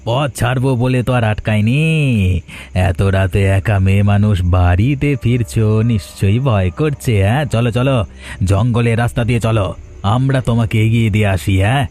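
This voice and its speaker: An actor plays a young village man in a cartoon folk tale. His voice is medium in pitch and on the mellow side, with faint music underneath. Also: a little fast, natural voice. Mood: menacing, mocking. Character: young village men of animated tale, bullies and suitors. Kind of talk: animated Bengali folk tale voice acting.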